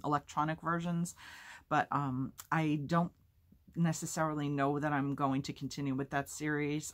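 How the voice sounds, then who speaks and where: A woman with a low, husky voice hosting a storytime and narrating a book. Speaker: storytime reader and host.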